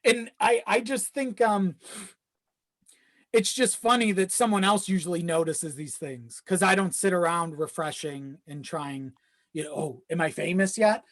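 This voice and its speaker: A man's voice: high voice, unhurried. A man chatting casually as a podcast guest, rambling along and acting out his own asides.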